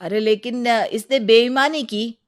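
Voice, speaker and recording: An eager, cocky young man from a cartoon story, performed by a woman. The voice sits fairly high and moves slowly, earnest and ready to argue. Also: lightly acted. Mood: argumentative.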